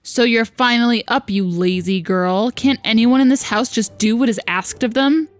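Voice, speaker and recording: A woman's voice: fairly high pitch, moderate pace, lightly acted. A woman voicing a haughty, scornful older stepmother who gives impatient orders, reading visual novel dialogue aloud. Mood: scolding. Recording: music behind the voice.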